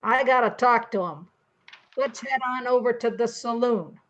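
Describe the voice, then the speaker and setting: Older woman plays no-nonsense frontier mayor, highish voice, folksy radio comedy. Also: moderate pace, fairly bright tone, natural voice, low-quality recording. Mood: businesslike. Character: brisk, demanding female mayor of western town. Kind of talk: amateur old-time radio comedy acting.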